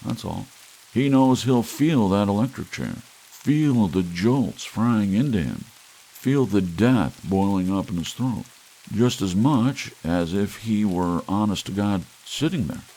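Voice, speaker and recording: A man's voice: lowish voice, expressive, takes it slowly, warm tone, heavily acted, low-quality recording. A man voicing an earnest man pressing a friend about dreams and pain, urgent and probing.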